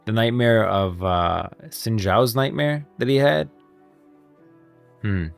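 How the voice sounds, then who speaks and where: A man's voice: lowish voice, slow, vocal fry, smooth. A man livestreaming casual, emphatic reaction commentary on game lore, often repeating himself. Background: faint music.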